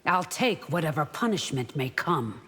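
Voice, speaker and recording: An actress voicing a fierce, devout and loyal warrior bodyguard in a fighting game, speaking formally, proud and defiant. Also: female voice, sits low, very animated, normal speed. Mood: earnest, respectful. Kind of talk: video game fight intro dialogue. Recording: reverberant hall, noisy game backdrop.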